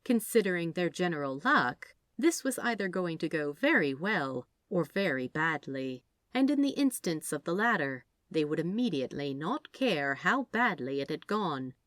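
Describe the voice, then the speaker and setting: A woman with a slightly low voice narrates fiction expressively. Her intonation moves a lot, and the recording is close and dry, with very little room sound. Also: sing-song, normal speed, hardly any pauses, fairly bright tone, no room sound. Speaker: audiobook narrator of fan fiction. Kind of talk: expressive scripted story narration.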